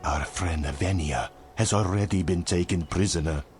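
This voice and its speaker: An actor playing a grave, courtly vizier mage in a video game, with formal, ominous, theatrical delivery. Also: male voice, sits low, normal speed, darkish tone, soft background music, lightly acted. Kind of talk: video game cutscene acting.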